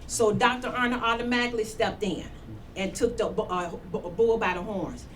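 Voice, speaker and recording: A woman's voice: mid-range voice, moderate pace, slight background noise. A woman, the show's executive producer, talking casually and laughing on a radio panel.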